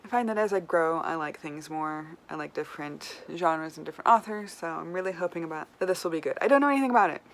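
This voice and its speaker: A woman book vlogger chatting casually and informally to camera, thinking aloud as she goes. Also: lowish voice. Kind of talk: casual chat to camera while playing a reading-list game.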